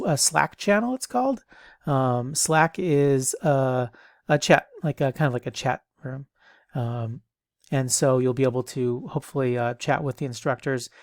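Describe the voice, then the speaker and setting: A man's voice: mid-range voice, no echo, clean studio sound. A man in his thirties giving a casual online lecture as an instructor, rambling with many ums and restarts, a bit stuffy from allergies.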